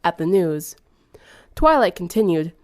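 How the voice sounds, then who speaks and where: A woman's voice: fairly low pitch, sing-song, measured pace, no echo, studio quality. A woman reading fan fiction aloud as scripted third-person narration.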